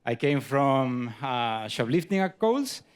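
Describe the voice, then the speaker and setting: A man's voice: mid-range voice, big pitch swings, unhurried, clear, resonant tone. A man from Chile with a Chilean Spanish accent and Spanish as his first language, performing stand-up comedy. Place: comedy club stage.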